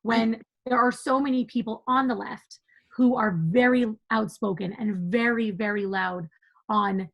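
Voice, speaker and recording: Husky, gravelly-voiced woman talking passionately. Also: a little high, steady tone, poor audio. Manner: passionate, emphatic, quick to laugh. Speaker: podcast guest. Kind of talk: conversational interview.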